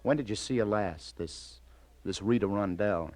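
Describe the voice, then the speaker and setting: An actor playing a streetwise, weary but kindly police lieutenant in a mid-century radio crime drama, talking in clipped, easygoing questions. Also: male voice, on the low side, moderate pace, darkish tone, natural voice. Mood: probing, gentle.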